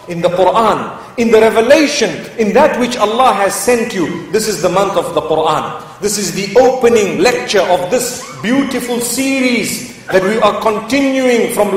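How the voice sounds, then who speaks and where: A middle-aged male Islamic preacher lecturing a live audience, asking rhetorical questions with emphatic pauses. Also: very high voice. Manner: chants Arabic scripture melodically. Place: large mosque hall. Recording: echoey hall, mic far off.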